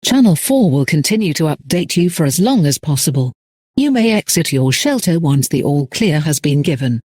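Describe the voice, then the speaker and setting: A man delivers a scripted emergency announcement as a broadcast announcer. His voice sits a little higher than most men's. He speaks formally and with measured phrasing, in a very dry sound with no room around it. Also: sing-song, darkish tone, dry recording.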